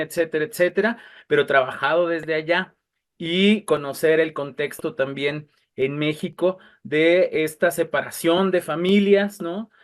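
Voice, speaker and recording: A man explaining his work as a panel guest, hesitant and searching for his words. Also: fairly high pitch. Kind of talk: explanatory conversation.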